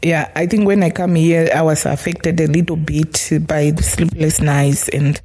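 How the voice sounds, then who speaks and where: A middle-aged woman from Zimbabwe with a Zimbabwean accent, telling her life story in an interview in a soft, halting, reflective way. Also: deep voice, fairly even intonation. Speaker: children's home caregiver.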